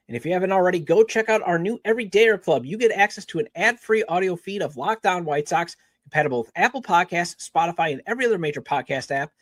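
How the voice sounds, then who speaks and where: A man's voice: high voice, no room sound. A man reading scripted sponsor and show promos as a sports podcast host. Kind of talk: scripted ad read.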